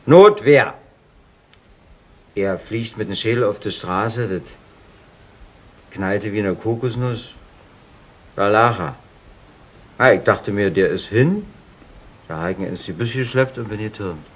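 An actor playing a defiant, slangy career criminal under questioning in a radio crime drama. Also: male voice, fairly low pitch, animated, a little slow, long pauses. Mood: defensive. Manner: slangy, insolent, self-justifying. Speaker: arrested suspect. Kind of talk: 1970s German radio crime drama acting. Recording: noisy 1970s radio play recording.

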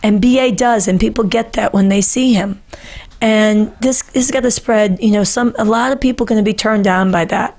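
A woman's voice: mid-range voice, normal speed, a little mellow. Earnest, emphatic middle-aged woman commentator. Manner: earnest, emphatic, repeats phrases for stress. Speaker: show host. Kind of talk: impassioned political panel commentary. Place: small book-lined studio, indoors. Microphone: boom-arm podcast microphone, close.

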